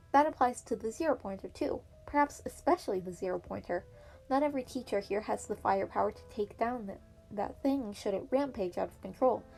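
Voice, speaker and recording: A woman's voice: on the high side, a little mellow, close to an everyday voice. A woman voicing an earnest, clever teenage boy student in a fan story reading, polite and keen to explain himself. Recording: piano music behind the voice.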